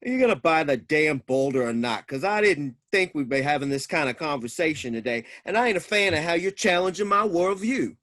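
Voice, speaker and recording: A man's voice: a little high, lively intonation, fairly quick, few pauses, fairly bright tone. A man playing a crass, stubborn, folksy boulder seller in a staged play reading. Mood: crass, dismissive. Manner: folksy ungrammatical talk, chuckling.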